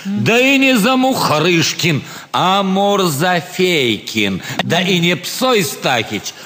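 An actor playing a ruined gentleman turned swindler in a classic stage comedy, brazenly justifying himself with theatrical, reasoning delivery. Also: high voice, big pitch swings, slow, full character voice. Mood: frank, self-justifying. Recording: reverberant theatre hall.

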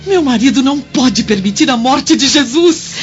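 Distressed Roman wife, high voice, compassionate, radio drama, muffled sound, loud music. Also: female voice, expressive, moderate pace.

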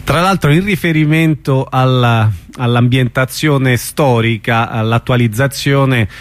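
A man's voice: medium pitch, unhurried, no room sound. A man hosting a casual radio interview, putting questions to his guest.

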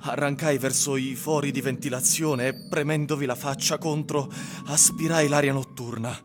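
Man acting bitter husband in a radio play, mid voice, brooding and measured, bit of music behind. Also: mid-range voice, steady tone, lightly acted. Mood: tense. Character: embittered abandoned husband recounting his haunted house. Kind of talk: dramatic first-person monologue in radio drama.

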